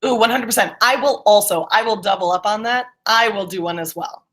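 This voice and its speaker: A woman's voice: mid-range voice, fairly quick, a bit bright. A woman teacher leading an interactive online class, encouraging students and checking their understanding with questions. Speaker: middle school English teacher.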